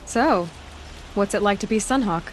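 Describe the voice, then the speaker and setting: Blunt young huntress played by an actress. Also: female voice, mid-range voice, normal speed, slight background noise, close to an everyday voice, low-quality recording. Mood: dry. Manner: direct, wry.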